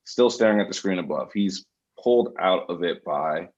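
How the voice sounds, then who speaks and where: Screenplay narrator, male, very low and husky, reading scene directions. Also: lively intonation, normal speed, highs cut off. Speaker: reader of stage directions.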